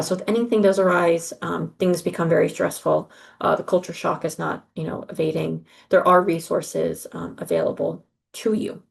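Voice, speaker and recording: Advisor, woman, middle-aged; low-pitched and gravelly, earnest and reassuring, lots of fillers, on a video call. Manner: earnest, reassuring, with frequent ums and uhs. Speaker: study abroad advisor. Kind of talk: informational briefing on a call.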